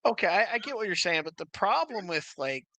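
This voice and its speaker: A man's voice: sits high, sing-song, a little fast, a loud crowd. A man in a casual debate, talking insistently, repeating his phrases and cutting in.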